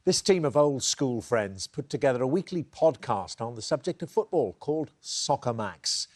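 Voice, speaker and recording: A man's voice: medium pitch, sing-song, normal speed, a little mellow. A man hosting a TV quiz show, chatty and teasing as he reads the questions. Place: TV studio.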